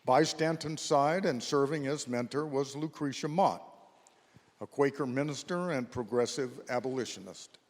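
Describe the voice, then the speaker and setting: A man's voice: mid-range voice, moderate pace. A man reading scripted historical narration as the narrator of a staged readers theater. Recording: reverberant hall.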